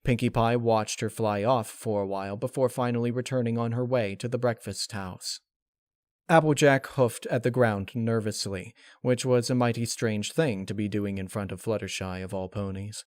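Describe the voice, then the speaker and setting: Man narrating a dark story, dry sound. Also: lowish voice, steady tone, moderate pace, no room sound. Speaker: narrator of fan-fiction readings.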